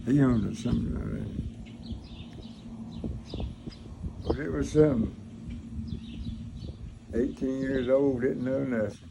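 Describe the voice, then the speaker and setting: Gravelly older man reminiscing. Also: mid-range voice, fairly even intonation, takes it slowly, pauses often. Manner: folksy, plain-spoken, rambling. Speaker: grandfather recounting his military past. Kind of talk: informal reminiscing, storytelling. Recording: noisy room, clear background noise.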